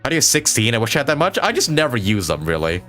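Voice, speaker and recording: A man giving casual live commentary on a game, rambling and thinking out loud as he plays. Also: medium pitch, big pitch swings, rapid-fire, faint music behind. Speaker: game streamer.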